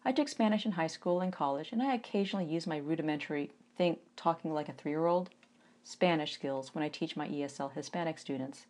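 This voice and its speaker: A woman in her forties from the United States, an author giving an informal reading of her own memoir in a conversational, wry way with animated emphasis. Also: low voice, a little fast. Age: 40s.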